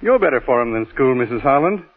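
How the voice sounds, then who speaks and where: A firm, principled physician in an old radio drama. His medium-pitched voice is rough-edged and animated, formal in address. The sound is muffled and thin, like a 1940s broadcast. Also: fast talker. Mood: reassuring. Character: firm, principled sanatorium doctor.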